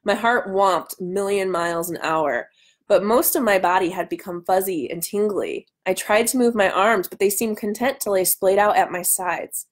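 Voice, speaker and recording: A woman's voice: mid-range voice, moderate pace. Woman reading aloud, nasal from a cold. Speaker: author hosting a live reading. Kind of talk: live book reading with casual chat. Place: small room at home, indoors. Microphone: webcam at arm's length.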